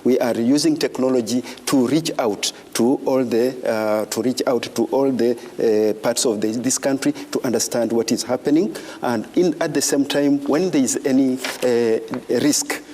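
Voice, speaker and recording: A man answering as a panelist at a public event, speaking haltingly with many filler sounds. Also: medium pitch, dark tone. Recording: echoey hall with faint room noise.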